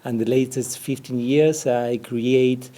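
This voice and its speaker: Slow, mellow man with an Argentine accent. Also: mid-range voice, steady tone. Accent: Argentine Spanish. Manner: hesitant, searching for words. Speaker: business developer. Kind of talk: interview answers.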